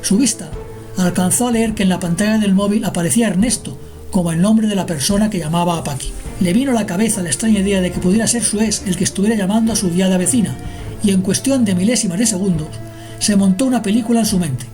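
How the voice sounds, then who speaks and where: Mellow female radio drama narrator. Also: mid-range voice, fast. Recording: music behind the narration.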